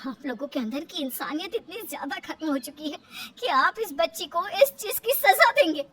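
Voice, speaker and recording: A woman acts out a kind village mother in a moral cartoon tale. Her voice is high and motherly, with firm principles and a protective streak. There is slight background noise. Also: lively intonation, normal speed, bright, forceful tone, recorded from a distance. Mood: indignant. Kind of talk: Hindi moral-story cartoon dub.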